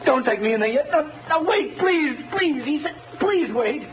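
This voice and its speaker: A man's voice: very high-pitched, lively intonation, normal speed, over music. A man acting a terrified young condemned prisoner, pleading in panic in an old radio melodrama. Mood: hysterical pleading. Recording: very noisy, dull old-time radio recording.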